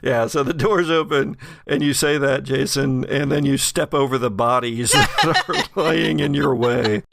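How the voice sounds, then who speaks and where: A man narrating a tabletop role-playing game as game master, with a gravelly voice. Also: medium pitch, unhurried, hardly any pauses, noisy background.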